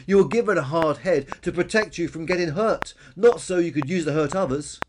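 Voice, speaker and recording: A man's voice: a little high, brisk, background noise, voice pushed up, close to an everyday voice. A man voicing a well-meaning long-necked dinosaur in a children's story, earnest and admonishing. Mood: earnest reproach.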